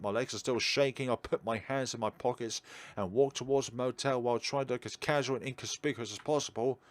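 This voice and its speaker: A man reading a visual novel aloud as a let's-play host, theatrical and drawn-out, with dry ad-libbed asides. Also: medium pitch. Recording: prominent game music behind the voice. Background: video game music.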